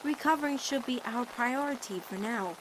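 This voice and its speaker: A woman's voice: fairly high pitch, normal speed, background noise, natural voice. A woman voicing a caring, protective teenage girl in a cartoon fan dub, earnest and emphatic as she pleads with her girlfriend. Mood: gentle worry. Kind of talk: animated-series fan dub drama acting.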